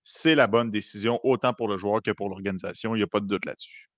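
A man's voice: fairly low pitch, steady tone, fast talker, a bit bright, dry recording. A man hosting a sports podcast, guiding the talk and reading listener messages. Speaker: podcast host.

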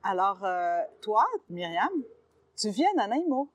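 A woman's voice: medium pitch, expressive, unhurried. A woman, interviewing guests in a friendly, encouraging way. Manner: friendly, encouraging with short reactions. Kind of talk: casual conversational interview.

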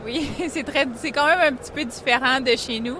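A woman's voice: high voice, moderate pace, noisy background. A woman from Quebec with a Quebec French accent, answering interview questions casually about her feed company. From Quebec, Canada. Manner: casual, many fillers.

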